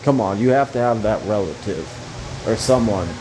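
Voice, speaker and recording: A man's voice: fairly low pitch, moderate pace, a little mellow, slight background noise, rough recording. A young man casually commenting on a mobile fighting game, rambling and swearing with joking asides.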